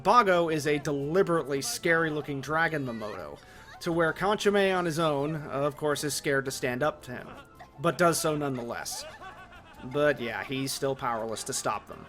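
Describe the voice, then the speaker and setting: A man's voice: fairly high pitch, normal speed, a bit bright, faint music behind. A man narrating a scripted video essay, opinionated and conversational.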